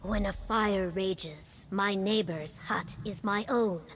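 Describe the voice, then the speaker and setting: Fantasy game role: stately reptilian woman commander, measured unhurried delivery, formal bearing, light ambience. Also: mid-range voice, a bit bright, a little hiss or hum. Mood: grave, grateful. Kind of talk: video game character dialogue.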